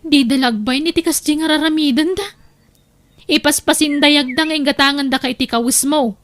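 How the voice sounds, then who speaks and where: A woman acts out an inquisitive Ilocano cousin in an audio drama. The voice is high and chatty, gossipy yet sympathetic, and quick to question. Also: a bit bright. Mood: gossipy surprise.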